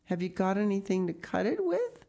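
Woman voicing a high, plaintive little girl. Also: animated, slow, gravelly, warm tone, full character voice. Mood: practical. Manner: plaintive, earnest, plain-spoken. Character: sad, devoted young girl, loyal bosom friend. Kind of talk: audiobook character reading.

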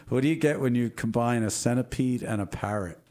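A man hosting a show, reading corny jokes and chuckling at his own puns. His voice sits in a middle register, and he is losing it, stopping now and then to clear his throat. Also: medium pitch, normal speed. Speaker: show host.

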